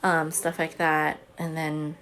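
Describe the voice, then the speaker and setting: A woman's voice: low-pitched, monotone, slow pace, a bit bright, slight background noise. A homeschooling mother telling a personal story to camera in a chatty, earnest way. She says she has a big voice.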